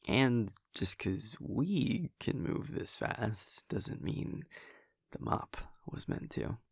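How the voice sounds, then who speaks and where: A man playing a playful, affectionate vampire boyfriend in an intimate audio roleplay, talking casually and teasingly in a breathy, gravelly voice that often drops near a whisper. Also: lowish voice, very animated, slow pace, no echo, studio quality, heavily acted. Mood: wry, joking. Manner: wry, teasing, hesitant, chuckling casual delivery.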